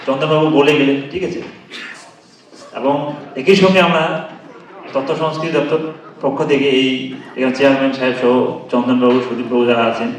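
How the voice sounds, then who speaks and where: A man giving a formal, halting vote of thanks as an official. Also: medium pitch. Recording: very echoey hall, distant, faint noise.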